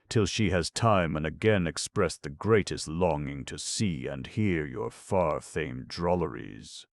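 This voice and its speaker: A hearty colonel scolds his friend in playful mock outrage, voiced by a man reading a storybook. The voice is very deep and gravelly. It is a close, dry recording. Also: no echo. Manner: laughing, mock-indignant. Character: jovial, blustering male colonel, teasing.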